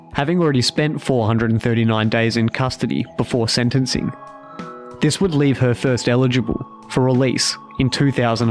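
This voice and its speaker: Measured male true-crime narrator, slightly mid-pitched.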